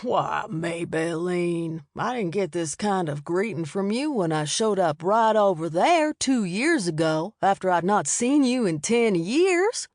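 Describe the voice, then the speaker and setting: A woman voicing a charming, easygoing Southern man who speaks in a slow, teasing drawl. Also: on the low side, lively intonation, darkish tone, no echo, clean studio sound.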